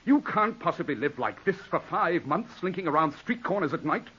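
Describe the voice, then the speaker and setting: An actor playing a middle-aged, domineering English lawyer scolding and lecturing in a 1940s radio drama. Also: male voice, on the high side, lively intonation, brisk, breathy edge, heavily acted. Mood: urgent, cold. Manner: forceful, scornful, lecturing. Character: domineering English lawyer, ambitious, exasperated older brother. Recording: dull 1940s radio broadcast recording.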